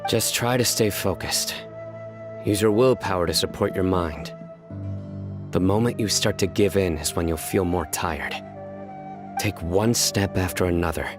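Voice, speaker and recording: An actor playing a stern, vigilant enforcer in a video game, deadpan, measured and formal. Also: male voice, sits low, over music. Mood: stoic. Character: stern, vigilant male desert enforcer, grave and composed. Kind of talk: video game character acting.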